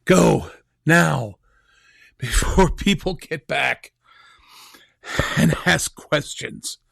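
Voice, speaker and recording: Radio play casting: mortally wounded gentleman, wry but fading, husky gasps, pitch swinging widely. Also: animated, no echo, full character voice. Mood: urgent, fading. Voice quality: raspy, gravelly. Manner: halting, broken phrases, dry humour. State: weak, gasping, dying. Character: Kingston, mortally wounded man, wry and urgent. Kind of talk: audio drama acting.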